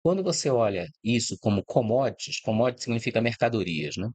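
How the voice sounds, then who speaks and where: A man's voice: mid-range voice, big pitch swings, a little fast, darkish tone, no echo. A middle-aged man from Brazil giving an informal, reflective talk, explaining ideas step by step and often checking in with the listener. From Brazil, born in Rio de Janeiro. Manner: reflective, explanatory, frequent "né?" and "tá?" tags. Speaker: host of a channel about food and agribusiness. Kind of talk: informal spoken talk to camera.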